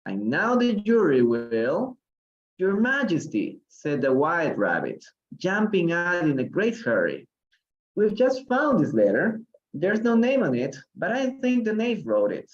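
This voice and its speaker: A man from Peru runs a live online English reading class, encouraging each student and hedging with lots of fillers. His pitch rises and falls with plenty of movement. The audio comes through dull and lo-fi, like a livestream feed. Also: wildly swooping pitch, a little slow. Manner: encouraging, hesitant with many fillers. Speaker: English teacher. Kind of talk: live online reading lesson, switching to Spanish. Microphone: livestream call audio.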